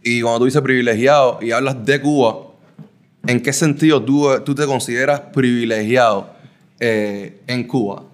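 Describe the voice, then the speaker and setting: A man's voice: mid-range voice, moderate pace. A man hosting a casual interview over drinks, playful and teasing, repeating words and using lots of slang. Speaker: podcast host.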